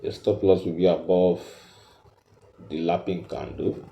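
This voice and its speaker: A man with a low, very dark and mellow voice teaches step by step. He speaks slowly and haltingly, often repeating himself as he points things out. The recording is roomy and echoing. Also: flat delivery, lo-fi sound, slight background noise. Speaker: trading tutor. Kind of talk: explanatory screen tutorial, step by step.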